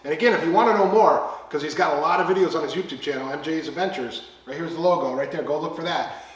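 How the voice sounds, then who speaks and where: Chatty American man in an echoey room. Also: fairly high pitch. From United States. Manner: chatty, rambling, quick to laugh along. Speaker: podcast host. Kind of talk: casual podcast chat. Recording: echoey room, distant mic.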